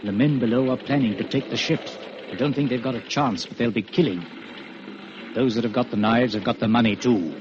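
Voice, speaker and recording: An actor playing a calm, cunning, gentlemanly prisoner in an old radio drama, controlled and persuasive. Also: male voice, mid-range voice, fairly quick, lightly acted. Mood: cool bargaining. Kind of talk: 1940s radio drama acting. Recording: muffled, noisy old radio broadcast.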